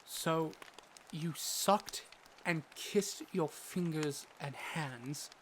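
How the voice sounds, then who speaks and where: A man plays a learned, exasperated doctor telling his fantasy tale. His voice is slightly high and very bright, his delivery slow and dramatic, over noticeable background ambience. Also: a little hiss or hum, exaggerated voice. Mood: incredulous, angry. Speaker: narrator and lead character. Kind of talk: dramatic scripted narration.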